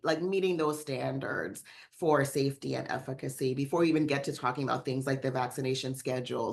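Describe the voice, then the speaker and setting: A woman's voice: deep voice, a bit bright. A woman speaking as a panelist in a webinar discussion, conversational, often checking in with "right?".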